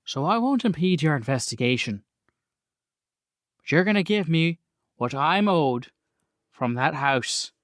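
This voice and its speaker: A man playing a gruff, foul-mouthed mob boss with a blunt, commanding, bargaining manner in a tabletop game, over faint music. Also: on the high side, expressive, a little slow, pauses often, dry recording, studio quality. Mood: firm, commanding.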